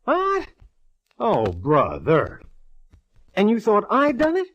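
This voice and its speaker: An American actor playing a gruff, sardonic police detective in a 1940s radio drama, bossy, teasing and slangy. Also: male voice, high voice, wildly swooping pitch, takes it slowly, a bit bright, full character voice. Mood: amused. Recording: dull vintage 1940s radio recording.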